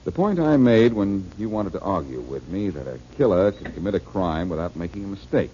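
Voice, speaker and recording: A cool, self-assured detective speaks low and dark with lively swings in his voice. His smooth, confident delivery sits in a dull, lo-fi old radio recording. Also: a little fast, slight background noise. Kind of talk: 1940s radio acting.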